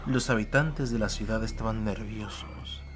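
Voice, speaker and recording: A man narrating a war tale as a dramatic audiobook, measured, solemn and emphatic. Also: lowish voice, noisy background. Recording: music behind the voice.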